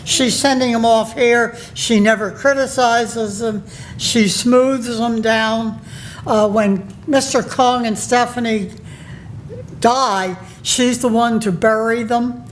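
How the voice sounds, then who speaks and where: A woman giving an academic conference talk, reading from a paper in a measured way with pauses and fillers. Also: on the high side, fairly even intonation, a little hiss or hum, rough recording. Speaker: conference presenter. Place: conference room.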